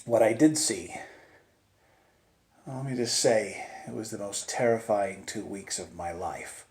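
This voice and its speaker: A man telling a suspense story in the first person, theatrical and deliberate, with dramatic pauses and swings between hushed and urgent delivery. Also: lowish voice. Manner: theatrical, swinging from hushed near-whispers to loud urgent peaks. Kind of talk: dramatic live oral storytelling of a suspense tale. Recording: roomy, echoing space.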